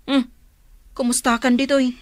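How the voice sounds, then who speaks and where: A woman's voice: high voice, flat delivery, unhurried, no echo. A woman with a Filipino accent acting a sociable, inquisitive and concerned friend in a radio drama.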